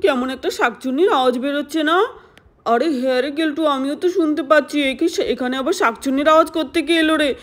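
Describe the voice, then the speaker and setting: A woman's voice: high-pitched, quick pace, natural voice. A woman voicing village children at play in a children's doll story, with simple, exclamatory childlike chatter. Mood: frightened. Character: village children playing by a pond, easily scared.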